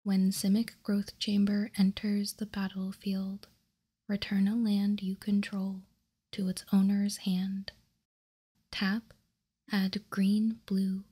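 A woman speaks in a soft ASMR whisper, very breathy and mellow. Her delivery is slow and deliberate, as if reading aloud carefully, close to the microphone. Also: mid-range voice, completely flat delivery. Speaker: host.

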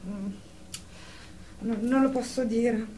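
An actress playing a nervous thirty-year-old psychiatric patient in a radio drama, evasive and hesitant, often repeating herself. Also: female voice, on the high side, steady tone, slow pace, dark tone. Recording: distant with faint background noise.

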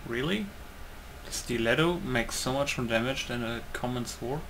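A man's voice: medium pitch, moderate pace, a bit bright, a little hiss or hum, low-quality recording. A man giving casual game commentary in a rambling, blunt, sweary way. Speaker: gaming video creator.

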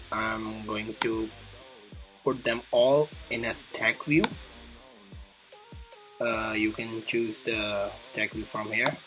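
A man presenting a casual coding tutorial, thinking aloud in a halting way as he demonstrates each step. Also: fairly low pitch, fairly bright tone. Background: music clearly playing behind the voice.

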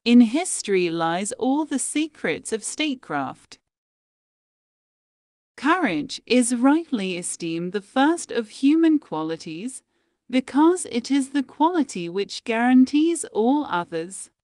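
A woman reading quotations aloud in a slow, measured, deliberate way, pausing between phrases. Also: a little high, sing-song, darkish tone, dry recording, clean studio sound. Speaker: quote reader. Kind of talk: slow scripted quote reading.

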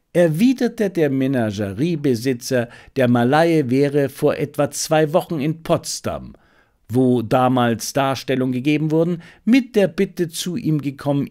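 A male audiobook narrator reads a detective story. His medium-pitched voice rises and falls widely, giving the scripted prose a very animated contour.

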